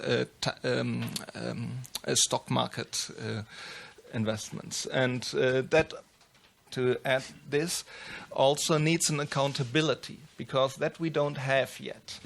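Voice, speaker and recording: German guy on a panel, kinda gravelly, stops a lot to find the english word. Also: mid-range voice, fairly bright tone. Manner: halting, searching for English words. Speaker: panelist, sustainability investor. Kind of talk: panel discussion.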